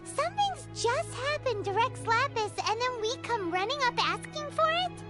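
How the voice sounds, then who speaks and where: A tiny, childlike fairy chirps in an extremely high, squeaky voice. The delivery is animated and excitable, like a curious game sidekick. Bright background music plays clearly underneath. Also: female voice, moderate pace. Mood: puzzled. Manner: speaks of self in third person, excitable. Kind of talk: video game dialogue acting.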